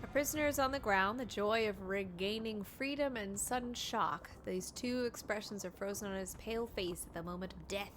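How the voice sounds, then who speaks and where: Bright-voiced woman streaming a game. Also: mid-range voice, normal speed, few pauses, soft background music. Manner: playful, reactive. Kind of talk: live game commentary, reading on-screen text aloud.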